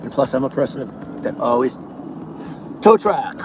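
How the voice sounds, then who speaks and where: A man vlogging from his car in the snow, hoarse, talking in a rambling, matter-of-fact way about his day and his life. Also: mid-range voice, animated, normal speed. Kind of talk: casual talk while driving. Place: inside a car driving through snow. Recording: far from the mic, loud road noise.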